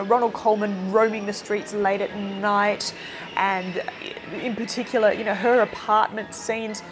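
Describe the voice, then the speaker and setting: A woman giving an informal, opinionated film review in a chatty, emphatic way. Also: on the high side.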